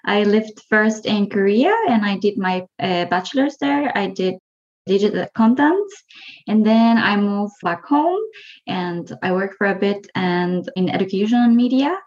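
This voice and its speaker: A woman's voice: medium pitch, unhurried, a little mellow. Guatemalan woman on a dull mic. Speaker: guest, animation student. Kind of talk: casual interview chat.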